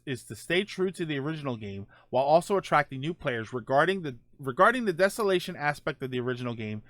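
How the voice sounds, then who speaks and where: A man with a slightly raised pitch talks casually as a podcast host, stopping often to restart his sentences. His voice sits close and dry, with no room sound around it. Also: a bit bright, no echo. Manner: halting, many ums and restarts, reads tweets aloud. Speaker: podcast host reading viewer topics. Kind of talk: casual solo podcast chat.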